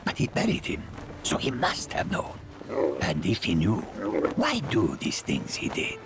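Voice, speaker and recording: An actor playing a slow-witted, puzzled male creature in a fantasy game, speaking simply and haltingly. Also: on the low side, huge pitch swings, loud music behind the voice.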